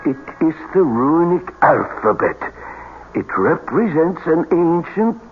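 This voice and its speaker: An actor playing an ominous, deliberate occult expert with portentous speech in a radio drama. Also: male voice, fairly high pitch, very animated, slight background noise. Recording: tinny old radio broadcast.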